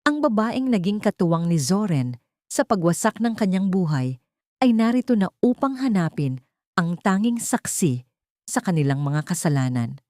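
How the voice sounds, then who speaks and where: A woman reads a dramatic story as its narrator. Her voice is dark and mellow, set a little low, in a very dry recording. She tells it in a measured, sentimental way. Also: very animated, no echo. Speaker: narrator of audio drama stories.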